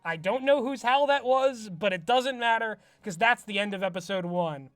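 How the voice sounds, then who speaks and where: A young adult man hosting a video, reading his old teen script aloud and mocking it in a wry, animated way. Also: sits high, moderate pace, dry recording, clean studio sound, soft background music. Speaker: YouTube host. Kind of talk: comedic commentary on an old script.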